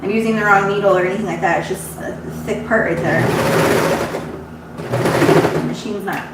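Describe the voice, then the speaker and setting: A woman's voice: medium pitch, steady tone, normal speed, recorded from a distance. A woman running an embroidery shop chats casually on a live stream while she sews. She sounds congested and rambles, restarting her sentences often. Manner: chatty and rambling, with frequent restarts. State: congested, runny nose. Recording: very echoey room, voice off-mic, audible background hum.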